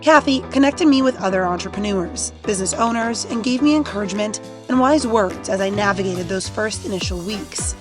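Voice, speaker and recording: A woman's voice: medium pitch, a little fast, hardly any pauses. Earnest woman narrating over music. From Poland. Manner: earnest and reflective. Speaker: podcast host. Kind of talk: scripted narration.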